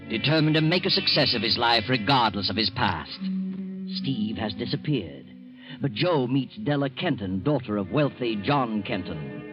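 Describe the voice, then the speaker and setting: A man narrating a 1940s radio drama in a measured, ominous way. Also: on the low side, natural voice. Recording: dull old radio broadcast with music.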